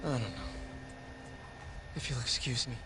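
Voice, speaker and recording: A man's voice: medium pitch, fairly even intonation, normal speed, music in the background, close to an everyday voice. An actor playing a desperate young man in custody who pleads his innocence in a dramatic, agitated manner in a video game. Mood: curt, sullen.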